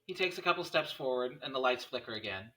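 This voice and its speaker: A man's voice: medium pitch, lively intonation, fast talker, sharp tone, poor audio. A man reading scene headings and stage directions as narrator at a script table read.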